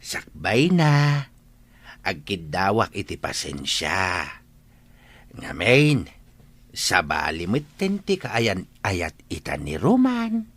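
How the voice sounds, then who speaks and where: A man acts out an obliging village neighbour in a radio drama. His bright, fairly low voice swings widely, taking its time to reassure. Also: wildly swooping pitch, slow pace, long pauses, dry recording, full character voice. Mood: musing. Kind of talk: Ilocano radio drama acting.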